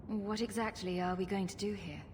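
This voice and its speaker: An actress playing a plain-spoken, wry rogue hero in a video game, speaking directly. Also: female voice, mid-range voice, fairly even intonation, fairly quick, close to an everyday voice. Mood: curious, direct. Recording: echoey, heavy noise behind.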